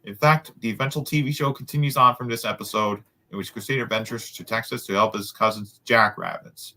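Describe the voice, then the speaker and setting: A man's voice: on the low side, fairly even intonation, fairly quick, pushed and bright. A man reading a book aloud with casual asides, sometimes stumbling over words and repeating them. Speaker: host of a group read-aloud.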